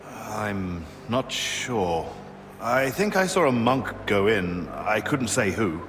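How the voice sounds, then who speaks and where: A man's voice: on the low side, sing-song, slow pace, fairly bright tone, slight background noise, heavily acted. An actor playing an easygoing male helper in a monastery infirmary, speaking casually and dryly in a video game. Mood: uncertain. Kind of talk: video game cutscene acting. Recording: reverberant, clear music behind.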